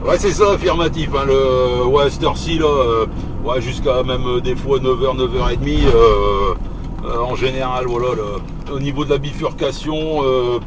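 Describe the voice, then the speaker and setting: A middle-aged man from France chatting casually and hesitantly over CB radio from inside a truck cab. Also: moderate pace. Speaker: CB radio operator, truck driver. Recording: loud engine and road noise in vehicle. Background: vehicle rumble.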